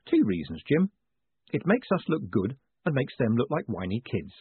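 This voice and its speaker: A man acts out a confident storybook rogue who gives orders lightly. He is smooth-talking and assured, with a slightly husky edge. The audio is thin and phone-like. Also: medium pitch, very animated, moderate pace, no echo, full character voice. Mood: breezy. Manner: breezy, assured. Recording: narrow phone-like sound.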